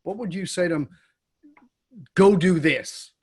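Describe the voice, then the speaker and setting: High-voiced male podcast host. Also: measured pace, vocal fry, breathy edge. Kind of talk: interview podcast.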